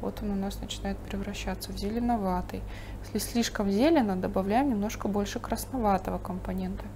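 Woman art teacher, calm running commentary while painting; recording has a clear hiss. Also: medium pitch, fairly quick. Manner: thinking aloud while painting, calm and explanatory. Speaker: painting instructor. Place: studio table, overhead camera on the painting.

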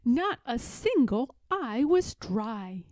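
Woman reading a kids picture book, highish voice, really lilting and expressive, dry close sound. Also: no room sound. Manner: deliberate, expressive storytelling of rhyming lines. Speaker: storybook reader. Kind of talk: children's picture book read-aloud.

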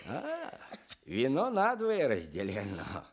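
An actor playing a gentle, humble, devout village man in his sixties with folksy rustic speech, in a radio play. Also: medium pitch, wildly swooping pitch, slow pace, slightly rough, heavily acted. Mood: humble, philosophical. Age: older. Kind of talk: Soviet radio play acting.

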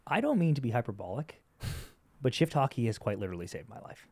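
A young man co-hosting a hockey podcast, chatting in a loose, joking, rambling way on a studio boom mic. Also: big pitch swings, a little fast, a little mellow, breathy edge, no room sound. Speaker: podcast co-host. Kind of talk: casual podcast chat. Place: studio with wood walls. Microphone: boom-arm podcast mic.

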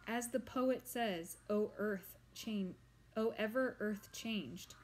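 A woman's voice: mid-range voice, darkish tone, slight background noise, natural voice, poor audio. A woman playing a pompous ancient swamp monster. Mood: lecturing. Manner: grand, slow and formal.

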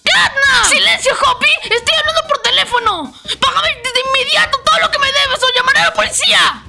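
A woman voicing an excitable, bad-tempered animal critter with dramatic exclamations in a cartoon fan dub. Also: extremely high pitch, a little fast, gravelly, heavy background noise. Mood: frantic, demanding. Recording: loud music behind the voice.

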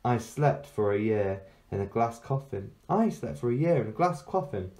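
Guy reading as a brave young storybook queen, firm and measured, not scared at all, lively pitch. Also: close to an everyday voice. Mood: scornful. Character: resolute young fairy-tale queen, cool, defiant, unafraid.

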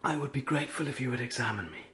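An actor playing an unwell, estranged husband in a period radio drama, earnest and formally spoken. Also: male voice, medium pitch, animated, racing, bright, forceful tone. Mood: weary. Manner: earnest, formal old-fashioned phrasing. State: unwell with a chill and congested chest. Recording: noisy track with hiss and clicks.

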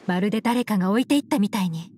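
A woman voicing a composed, shrewd adult investigator in an anime game, explaining in a cool, measured, analytical way. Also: mid-range voice, expressive, dark tone, a little hiss or hum, close to an everyday voice. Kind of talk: Japanese anime game voice acting. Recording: music under the voice.

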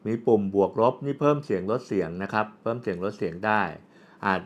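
A man's voice: lowish voice, animated, quick pace, dull audio. A man gives a casual, unscripted product demonstration, explaining step by step as a reviewer. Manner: explanatory, with frequent polite particles and spoken handling sounds. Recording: clearly audible background ambient noise.

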